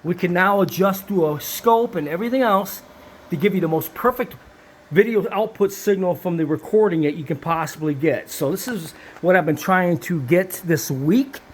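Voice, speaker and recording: Higher-voiced man, casual gear walkthrough. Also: normal speed, a little hiss or hum. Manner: rambling, enthusiastic hands-on explaining. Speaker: video transfer technician showing equipment.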